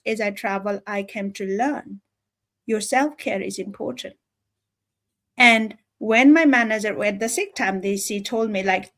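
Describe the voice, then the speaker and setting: A woman from Nepal with a Nepali accent, giving an informal motivational talk in an earnest, emphatic, halting way, often repeating phrases. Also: medium pitch. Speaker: livestream speaker.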